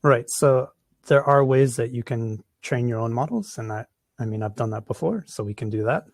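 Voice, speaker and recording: A man's voice: medium pitch, normal speed, creaky, a little mellow, no echo, clean studio sound. A man with an American accent answering audience questions after a conference talk, with lots of ums and restarts. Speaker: conference presenter.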